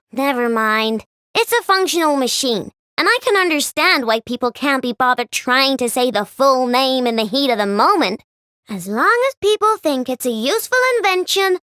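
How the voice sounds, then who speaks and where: Bubbly kid tinkerer: high, lively voice, close dry game recording. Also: female voice, no room sound, exaggerated voice. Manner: bubbly, lively, quick mood swings. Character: bubbly young girl robot inventor, chatty and playful. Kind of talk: video game voice lines.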